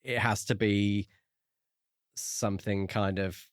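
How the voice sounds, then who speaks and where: A man co-hosting a podcast in casual book discussion, talking in a measured way with pauses mid-sentence. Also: fairly low pitch, steady tone, no echo, studio quality. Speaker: podcast co-host.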